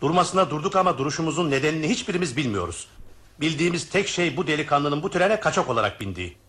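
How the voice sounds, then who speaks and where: A man's voice: fairly high pitch, expressive, fast, fairly bright tone. A man playing a blunt, impatient, sceptical train passenger in a Turkish radio drama. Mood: scornful, impatient. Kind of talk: Turkish radio theatre acting. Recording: noisy old radio drama recording.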